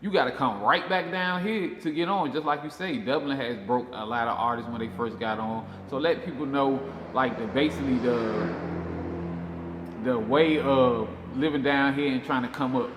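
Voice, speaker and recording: Male interviewer, Southern accent, mid-pitched and brisk, informal slang, reverberant space with faint noise. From Georgia, USA. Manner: slangy, often repeats "you know what I'm saying". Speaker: show host, interviewer. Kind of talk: casual interview chat.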